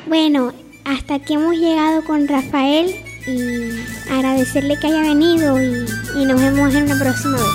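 Female interviewer, high and plain, reading simple questions in a reverberant hall. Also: steady tone, moderate pace, over music. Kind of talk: school radio interview.

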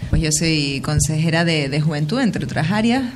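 Low-pitched Canarian woman, noisy background. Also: lively intonation, moderate pace. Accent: Canarian Spanish. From Lanzarote, Canary Islands, Spain. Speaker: local politician, youth councillor being interviewed. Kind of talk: informal radio interview answers.

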